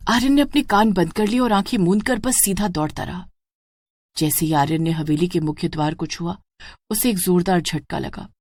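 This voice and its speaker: Low-voiced woman narrating horror. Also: darkish tone, no room sound, clean studio sound. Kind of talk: dramatic scripted narration.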